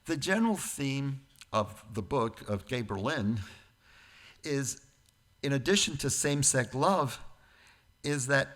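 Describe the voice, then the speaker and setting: A man delivering a prepared lecture-style sermon, speaking deliberately phrase by phrase and dropping into informal, wry asides. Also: mid-range voice. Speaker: guest speaker at a church service.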